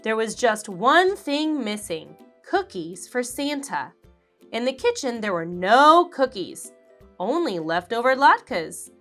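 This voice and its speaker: A woman reading a children's picture book aloud, slowly and expressively. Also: on the high side, soft background music. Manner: slow, expressive, animated character voices. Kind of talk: read-aloud storybook narration.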